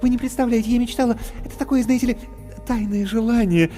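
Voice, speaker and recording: A man's voice: extremely high pitch, fairly even intonation, fast talker, warm tone, voice pushed up. A man voicing an effusive young woman clown who chatters theatrically and showers praise. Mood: gushing. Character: gushing young woman clown, breathless and flattering. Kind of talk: audiobook character voice. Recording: reverberant with background music.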